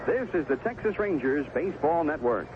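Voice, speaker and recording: Guy doing live baseball play-by-play, pitch a bit high and very lively, thin noisy am radio sound. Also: fairly quick. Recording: narrowband noisy 1970s AM radio broadcast.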